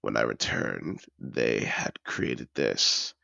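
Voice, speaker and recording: A suave, possessive dragon in human disguise, played by a man, speaks in a deep, heavily gravelled voice. He is courtly and seductive, lingering slowly over his words like a collector over treasure in a fantasy audio roleplay. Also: pushed and bright, no echo, dull audio, full character voice. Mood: proud, rapt. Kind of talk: romantic fantasy audio roleplay, addressed to the listener.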